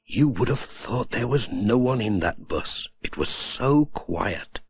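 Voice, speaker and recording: A man's voice: mid-range voice, expressive, takes it slowly. A man narrating a children's story as an audiobook reader.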